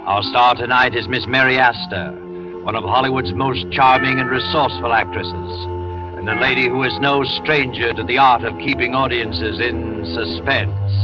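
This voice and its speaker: Ominous old-time radio announcer. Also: male voice, medium pitch. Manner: measured, ominous, theatrical. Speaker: host and narrator. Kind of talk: 1940s radio announcing and narration. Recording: dull old radio broadcast with music.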